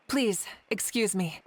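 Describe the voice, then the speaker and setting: A woman voicing a composed, dignified young female warrior leader in a video game, speaking in a measured, formal, assured way. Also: mid-range voice, no room sound. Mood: pensive. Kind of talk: game voice acting.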